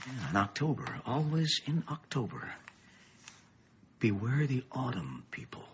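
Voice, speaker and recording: An actor playing a weary middle-aged, bookish father in a radio play, grave and thoughtful, with a husky voice and a measured, ominous way of explaining. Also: mid-range voice, very animated, slight background noise. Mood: ominous, hushed. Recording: dull, muffled lo-fi radio play.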